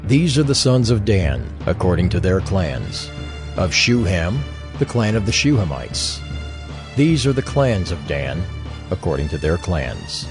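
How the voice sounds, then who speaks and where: A man's voice: deep voice, very animated, unhurried, over music. A man proclaiming scripted scripture in a formal, declamatory manner, like an official reader. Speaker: list reader.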